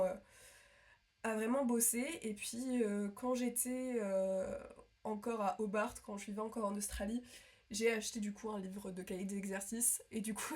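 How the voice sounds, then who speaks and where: A young woman from France chatting casually to her viewers, rambling with lots of fillers and restarts. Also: mid-range voice. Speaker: vlogger. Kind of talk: casual chat to camera.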